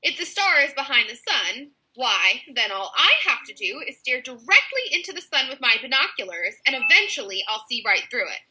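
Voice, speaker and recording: A woman's voice: sits high, sing-song, normal speed, hardly any pauses, sharp tone, voice pushed up, heavily acted, poor audio. A woman voicing a bossy, overconfident, dim-witted female kidnapper from a children's story, pompous about her nonsense. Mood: confident. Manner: pompous, matter-of-fact nonsense.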